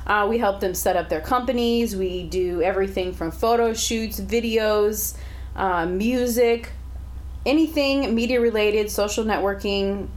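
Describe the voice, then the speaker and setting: Casting: female guest, relaxed and brief, mid-pitch, casual studio interview. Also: unhurried. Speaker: guest, media company owner. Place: recording studio.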